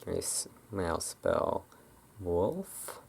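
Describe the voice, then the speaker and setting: ASMR host, deep pitch with heavy fry; casual, halting roleplay chatter. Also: very animated, a little mellow. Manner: rambling, halting, informal.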